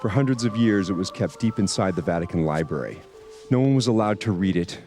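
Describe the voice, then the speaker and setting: An actor playing a stern older priest on a secret mission, speaking in a grave, measured, guarded way in a video game. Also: male voice, low-pitched, lively intonation, warm tone, faint music behind.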